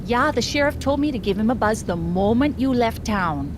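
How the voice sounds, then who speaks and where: An actress playing a chirpy, folksy small-town hotel keeper with a Minnesotan accent in an animated game. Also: female voice, on the high side, normal speed, noisy background, natural voice. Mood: nonchalant. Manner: folksy, breezy.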